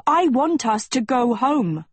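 A woman's voice: mid-range voice, sing-song, a little slow, a little mellow, slightly rough, no echo, voice pushed up. A woman voicing a glum, blunt teenage girl in a storybook, with an exasperated and defensive manner. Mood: pleading, frustrated.

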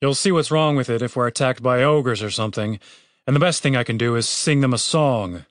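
A man's voice: mid-range voice, fairly quick, dry recording, studio quality, close to an everyday voice. A man narrator voicing a panicky, exasperated young man who has become a fantasy bard, whiny and sweary in an audiobook. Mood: dismayed.

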